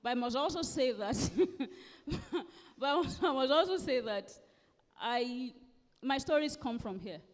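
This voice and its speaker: A woman's voice: high-pitched, lively intonation, a little slow. A woman with a Nigerian accent, around forty, speaking emphatically as a guest author in a live conversational interview, often repeating phrases for stress. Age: late thirties to forties. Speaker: guest author at a book festival. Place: live festival event hall. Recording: echoey hall with long reverb.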